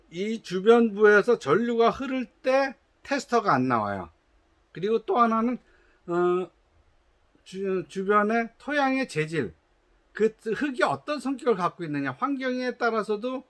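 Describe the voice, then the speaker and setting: High-voiced man explaining a product test. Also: fairly bright tone. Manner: patient, explanatory, with frequent hesitations and restarts; gives instructions in polite formal speech. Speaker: shoe company representative.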